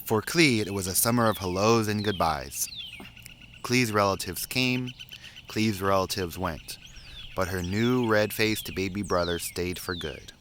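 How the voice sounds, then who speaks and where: A man reading a children's picture book aloud in a measured, expressive storytelling way, pausing between phrases. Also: low-pitched, slight background noise. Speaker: story reader.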